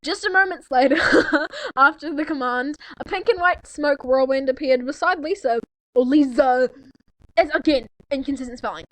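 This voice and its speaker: A woman's voice: high voice, moderate pace, fairly bright tone, dry recording, poor audio. A teenage girl in casual, giggly chat, rambling and tossing sarcastic asides as she reads and comments on a story. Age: teens. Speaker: co-host of a fanfiction reading. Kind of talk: casual chat with mocking read-aloud commentary.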